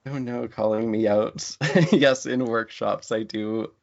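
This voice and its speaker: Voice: mid-range voice, steady tone, slow pace, creaky. A writer, speaking in an articulate, reflective way as a guest in an interview conversation. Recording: dull lo-fi audio.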